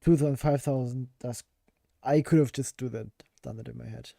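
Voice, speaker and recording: A hoarse man talks casually while he codes, musing out loud and answering his chat. His voice is very dark and gravelly, with a fry at the ends of phrases. The sound is close and dry, with no room in it. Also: medium pitch, steady tone, brisk, no room sound. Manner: thinks aloud, answers chat, laughs at himself. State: hoarse, voice worn out. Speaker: game developer streaming a game jam. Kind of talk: casual live-stream chat while coding.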